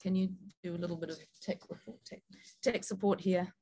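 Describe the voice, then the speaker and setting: A woman's voice: fairly low pitch, fairly even intonation, fairly quick, warm tone. A woman with a broad New Zealand accent giving an informal presentation as a guest speaker, casual and a little hesitant. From New Zealand and Sabah, Malaysia. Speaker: guest speaker, film director.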